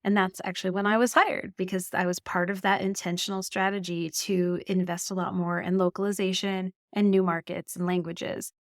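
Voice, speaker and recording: Lively Canadian woman guest, dry sound. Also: on the low side, moderate pace, hardly any pauses, dry recording. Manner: animated storytelling, laughs often. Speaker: marketing executive guest. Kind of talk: podcast interview conversation.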